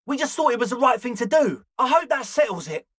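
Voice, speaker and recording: A man's voice: extremely high pitch, sing-song, a little fast, fairly bright tone, no echo, studio quality, full character voice. A man voicing an eager, flaky young male slacker ringleader in a video game, talking in an earnest, ingratiating, hedging way. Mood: earnest, placating. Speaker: voice actor. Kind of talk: video game character dialogue.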